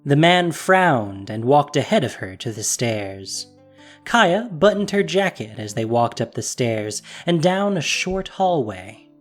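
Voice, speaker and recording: Expressive audio drama narrator. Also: mid-range voice, slow pace, soft background music. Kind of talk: scripted story narration.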